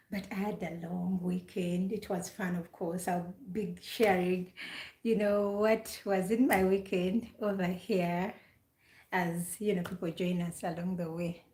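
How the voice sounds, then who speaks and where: A woman's voice: on the low side, a little mellow, echoey room. A woman from Uganda chatting casually on a live stream, greeting viewers by name and repeating her questions.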